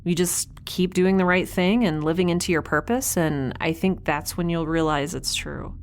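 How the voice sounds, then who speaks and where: A frank, principled woman of a starship crew, played by an actress, with a lowish voice. She speaks earnestly and firmly with dry wit, in naturalistic sci-fi audio drama style over a noticeable bed of background ambience. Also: fairly even intonation, normal speed, slight background noise, natural voice. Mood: earnest, encouraging. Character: frank, principled woman, caring space crewmate to a captain.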